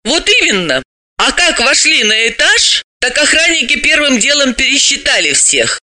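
Here is a brisk, self-assured woman sleuth from an audiobook, acted out by a man. The voice is pitched high and sounds very bright, swinging widely as it explains with businesslike emphasis and a mocking edge. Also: sing-song, dry recording, exaggerated voice. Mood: insistent. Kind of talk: audiobook character voice.

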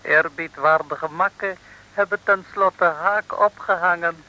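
Piercing, slow, sly courtier. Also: male voice, high-pitched, steady tone, pushed and bright, exaggerated voice, low-quality recording. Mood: gloating. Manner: singsong broken speech, sly formal politeness. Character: sly, gloating male Eastern courtier, caricatured, with pidgin speech. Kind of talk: 1960s radio comedy serial acting.

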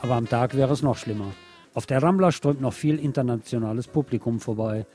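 A man hosting a late-night radio show, delivering a literary scripted monologue in a deliberate, poetic storytelling way. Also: medium pitch, warm tone. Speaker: radio host. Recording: music playing under the voice. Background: music.

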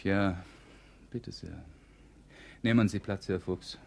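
A man in a radio play acting an evasive, uneasy psychiatry professor, speaking hesitantly in a husky voice. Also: sits low, slow pace, slight background noise, heavily acted. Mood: probing, businesslike.

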